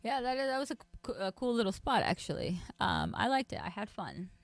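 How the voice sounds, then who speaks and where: A woman's voice: mid-range voice, huge pitch swings, brisk, gravelly, no echo. A woman in casual, playful, teasing chat.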